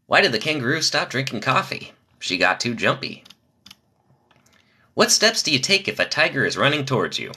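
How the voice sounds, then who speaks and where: A young man reading joke after joke on a live stream, pitching his voice low from the diaphragm to spare his nasal voice. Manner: rapid-fire joke delivery, lively.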